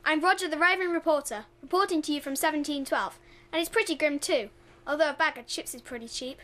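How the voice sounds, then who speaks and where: A woman's voice: high voice, fast talker, a bit bright. A young woman playing an earnest, chatty male roving reporter in a comic radio play. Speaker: drama student.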